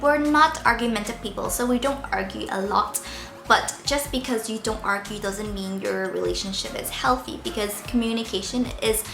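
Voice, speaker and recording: A woman in her twenties with a squeaky voice made a little husky by a sore throat, chatting playfully while answering viewer questions at home. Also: normal speed, fairly bright tone, echoey room, soft background music. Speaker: vlogger. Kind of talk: casual Q&A vlog. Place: indoors at home, seated by window light.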